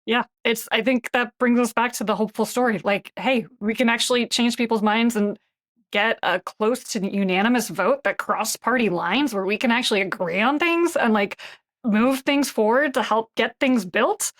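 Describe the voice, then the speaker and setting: A woman's voice: on the high side, moderate pace. A woman co-hosting a podcast, speaking casually with frequent "um"s and leading into a segment. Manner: friendly, hesitant, frequent "um". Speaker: podcast co-host. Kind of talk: casual chat and segment intro.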